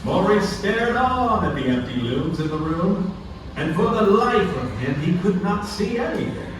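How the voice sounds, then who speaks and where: A man narrates a scripted puppet-show story. His voice is high and very animated in intonation. It is picked up from a distance in a very echoey, noisy indoor venue. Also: measured pace.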